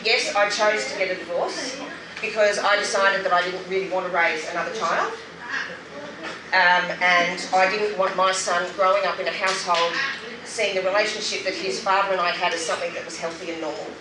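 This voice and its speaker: A middle-aged Australian woman tells her own story as a speaker at a public event. She is emphatic and candid, with a dry wit. Her voice comes from a distance in an echoing space, with clear noise in the recording. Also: lowish voice, fairly even intonation, a little fast. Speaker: guest speaker, anti-poverty group member sharing her story. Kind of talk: personal testimony in a public speech. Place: roomy venue at a public event. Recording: roomy, noisy, distant event microphone.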